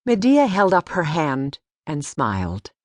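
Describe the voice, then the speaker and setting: A woman narrating a storybook audiobook in an expressive, deliberate way. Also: low voice, no echo, studio quality.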